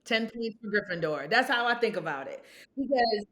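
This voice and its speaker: A woman's voice: on the high side, expressive, moderate pace, fairly bright tone. Emphatic middle-aged woman guest, tells stories, asks rhetorical questions, chatty. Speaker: guest, consultant. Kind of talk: podcast conversation.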